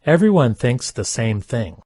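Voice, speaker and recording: A man reading simple English practice sentences aloud, each one twice, in a careful, even delivery. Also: mid-range voice, measured pace, husky, no room sound, clean studio sound. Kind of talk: slow repeated sentence reading for learners.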